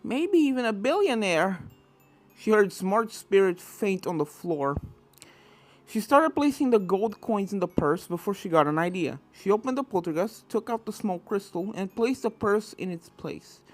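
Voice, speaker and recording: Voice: medium pitch, lively intonation, normal speed, no echo. A reader giving scripted narration of a fan fiction story. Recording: game music behind the voice.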